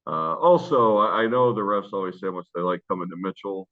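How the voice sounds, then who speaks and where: Coach guy, super deep loud voice, lots of uh and like, sounds like a phone call. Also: fairly quick. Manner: rambling, with many fillers and restarts. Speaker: high school basketball coach. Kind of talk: casual interview answers. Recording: tinny phone-line sound.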